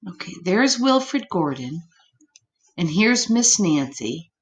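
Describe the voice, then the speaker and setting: A woman reads a picture book aloud to children. She takes her time, with an animated, varied pitch, and talks directly to her young listeners. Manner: pauses to address young listeners. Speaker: storytime reader.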